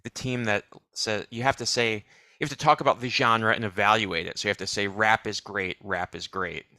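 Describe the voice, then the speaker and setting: A man co-hosting a live show, giving wry, deadpan commentary and setting up clips. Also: lowish voice, a little fast, no room sound. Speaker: co-host. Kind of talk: casual chat.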